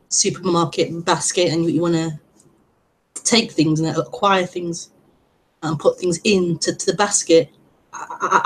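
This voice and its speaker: A woman with a stutter, hosting an online meeting and giving a gentle, reflective spiritual talk with frequent repetitions and blocks. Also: lowish voice, low-quality recording. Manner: gentle, reflective, laughs lightly at herself. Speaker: non-duality teacher hosting an online meeting. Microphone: online call broadcast.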